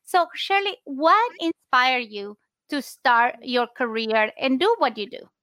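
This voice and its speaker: A woman with a Spanish accent hosts a casual interview, her voice high and bright. She talks effusively with plenty of fillers, and the recording is dry and close. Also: expressive, unhurried, no room sound. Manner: warm, effusive and grateful, with fillers. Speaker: podcast host.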